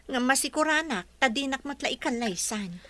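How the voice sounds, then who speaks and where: Bright, high-voiced spoiled young woman. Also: expressive, normal speed. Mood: breezy, boastful. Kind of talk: radio drama acting.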